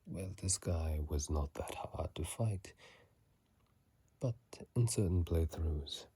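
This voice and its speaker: A video host whispering softly in a breathy, hushed voice, reading aloud and adding casual commentary in an ASMR style, close to a phone mic. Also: sits low, slow, no room sound. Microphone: phone mic held close.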